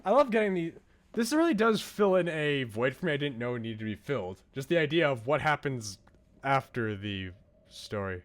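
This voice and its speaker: Animated man streaming a game. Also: medium pitch, moderate pace. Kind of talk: livestream commentary and reading a visual novel aloud.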